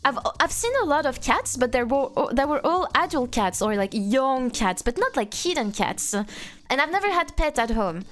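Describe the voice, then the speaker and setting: A woman's voice: sits high, big pitch swings, moderate pace, fairly bright tone, faint music behind. A young woman in her twenties from France with a French accent, chatting playfully on a live stream and answering chat. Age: 20s. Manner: playful, reads chat aloud, frequent laughter.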